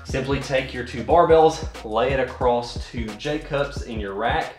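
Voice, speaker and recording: A man's voice: mid-range voice, unhurried, a little mellow. Young man explaining gym gear in echoey garage. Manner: practical, matter-of-fact demonstrating. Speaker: home gym channel host. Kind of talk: casual how-to explanation. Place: indoor garage home gym. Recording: echoey room with faint music.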